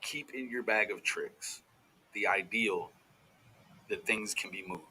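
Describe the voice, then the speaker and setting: Brash, very bright-voiced man reacting casually. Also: on the low side, slow pace, slightly rough, low-quality recording. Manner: brash, profane, folksy slang. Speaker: host of a true crime commentary show.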